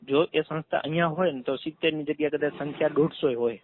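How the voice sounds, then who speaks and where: Man, Saurashtra Gujarati accent, fairly high voice, quick pace, insistent step-by-step explaining, narrow telephone sound. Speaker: community trust trustee. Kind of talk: explanatory phone conversation. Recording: tinny, narrow phone call recording.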